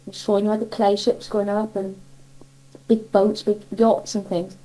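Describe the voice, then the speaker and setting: A woman's voice: medium pitch, little pitch movement, unhurried, mellow, rough recording. An older woman from Cornwall with a Cornish accent, casually reminiscing in a chatty, rambling, nostalgic way. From Cornwall, England. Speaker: interviewee sharing childhood memories.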